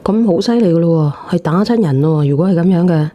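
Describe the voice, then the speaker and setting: A woman's voice: lowish voice, expressive, fast talker, mellow, muffled recording, a little hiss or hum. A woman with a Cantonese accent hosting a phone-in, bluntly prodding a caller with casual questions. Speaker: phone-in show host.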